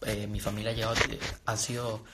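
A man's voice: fairly low pitch, little pitch movement, brisk, heavy background noise. A young man from Venezuela giving an earnest, rambling motivational talk to viewers, full of restarts and fillers. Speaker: personal development and trading coach. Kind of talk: casual live-stream talk to viewers.

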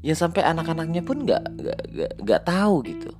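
A man's voice: fairly high pitch, very animated, fairly quick, a little mellow. A man, telling a personal story in a reflective, hesitant way with many restarts. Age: late 20s. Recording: guitar music playing behind.

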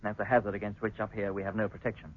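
Muffled vintage radio serial: male actor as British spaceship captain, fast-talking, formal, courteous and sure of himself. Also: lowish voice, little pitch movement, natural voice. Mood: grave, formal. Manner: polite, formal, measured assurance. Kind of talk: 1950s British radio drama acting. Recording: muffled, band-limited 1950s radio recording.

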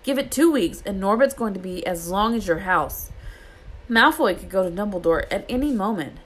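A woman's voice: mid-range voice, expressive, fast talker, fairly bright tone, voice pushed up, rough recording. A woman voicing an earnest, practical schoolboy in a storybook, speaking urgently and emphatically. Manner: urging, emphatic.

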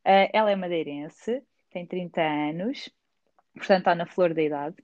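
A woman's voice: lowish voice, normal speed, a little mellow, no echo, lo-fi sound. A woman with a Lisbon Portuguese accent hosting a podcast, chatting casually with a guest and laughing often. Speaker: podcast host. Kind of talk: casual conversational interview. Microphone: remote recording.

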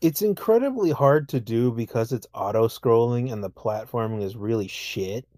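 A man's voice: medium pitch, expressive, normal speed, darkish tone, no echo. A man co-hosting a casual gaming chat, complaining in a blunt, profane way. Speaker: podcast co-host. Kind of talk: casual video game chat.

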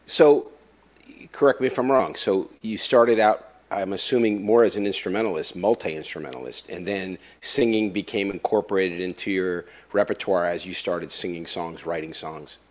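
A man hosts a conversational interview in a very low voice. He often stops and restarts his phrases, filling the gaps with ums and uhs. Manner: halting, many restarts and fillers. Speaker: interviewer host.

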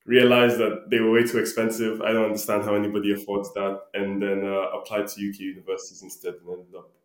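Young male podcast guest from Ghana, low voice, informal and laid-back, roomy echoey sound. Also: completely flat delivery, fast talker. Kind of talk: casual conversational interview answers.

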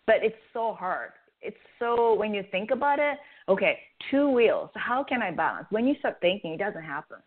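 A woman's voice: mid-range voice, moderate pace, hardly any pauses. A woman from Japan with a Japanese accent, a guest explaining her method earnestly in a radio interview. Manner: earnest, animated explaining with restarts. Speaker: guest, face yoga creator. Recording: tinny phone line.

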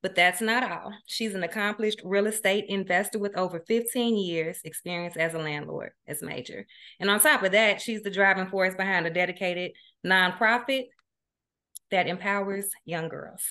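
A woman's voice: mid-range voice, moderate pace, pushed and bright. A woman co-hosting a podcast, upbeat and emphatic, reading out a guest introduction. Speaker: podcast co-host.

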